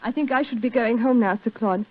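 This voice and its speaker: A woman's voice: a little high, fairly quick, close to an everyday voice. An actress playing an anxious, polite young woman in distress in a 1940s radio drama. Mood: polite, grateful. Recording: tinny, band-limited 1940s radio recording.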